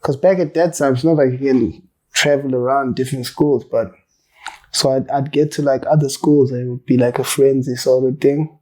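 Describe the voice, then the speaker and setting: Relaxed slangy rapper man, mellow voice. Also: mid-range voice, moderate pace, poor audio. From South Africa. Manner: loose, slangy, swears freely, laughs while telling stories. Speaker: rapper, interview guest. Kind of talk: casual interview chat.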